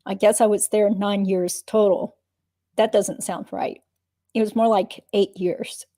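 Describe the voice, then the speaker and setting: A woman playing a guarded, matter-of-fact witness giving measured answers in a dramatic reading of a deposition. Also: mid-range voice, steady tone, dark tone, lightly acted. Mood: guarded, factual.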